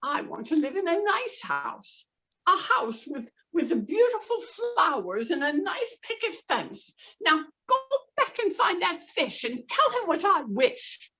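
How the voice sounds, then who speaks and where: A shrill, scolding wife who bosses her husband around, put on by a woman narrating a children's story. Her lines are loud, high and sharply drawn out. The recording is slightly dull. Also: very animated, unhurried, recorded from a distance, full character voice. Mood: angry. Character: angry demanding fisherman's wife.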